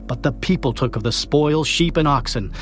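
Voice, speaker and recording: A man's voice: on the high side, moderate pace, close to an everyday voice. A man playing a self-justifying king in a dramatized scripture reading, earnest and formal. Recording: music under the voice. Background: music.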